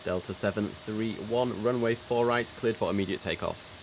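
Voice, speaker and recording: A man's voice: fairly low pitch, moderate pace. A man streaming a game, mixing casual commentary with air traffic control instructions over background noise.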